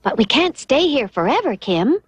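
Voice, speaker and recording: An actress playing a calm, reassuring magical schoolteacher in a 1970s TV cartoon, with a composed, proper delivery. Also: female voice, sits high, moderate pace, noisy background. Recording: loud music under the voice.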